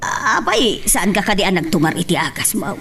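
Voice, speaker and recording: A woman's voice: mid-range voice, big pitch swings, normal speed, fairly bright tone, far from the mic, a little hiss or hum. A woman playing an anxious, scolding mother, fretful and pleading, in an Ilocano audio drama. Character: anxious, scolding mother of a sick grown child.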